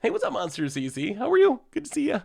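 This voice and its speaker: A man's voice: extremely high pitch, huge pitch swings, fast, gravelly, dark tone, husky. A man streaming a card game and chatting casually with his viewers, a little congested from a cold, laughing often as he plays. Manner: chatty, laughs often, thanks chat subscribers. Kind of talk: casual live-stream commentary while playing.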